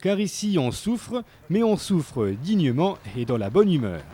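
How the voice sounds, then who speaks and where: A man's voice: fairly high pitch, very animated, measured pace, warm tone, breathy edge. A man narrating a scripted local TV report in a playful, tongue-in-cheek manner.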